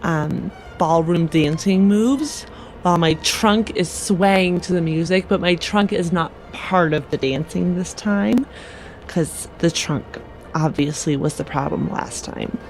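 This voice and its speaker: Slow-speaking woman at a gaming table. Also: fairly low pitch, slight background noise. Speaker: tabletop game player. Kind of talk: casual game table talk. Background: music.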